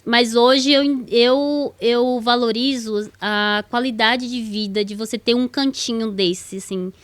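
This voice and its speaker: A woman's voice: on the high side, steady tone, takes it slowly, no room sound. A woman from Bahia, a slightly shy podcast guest telling her story and laughing easily. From Bahia, Brazil. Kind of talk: casual testimony interview.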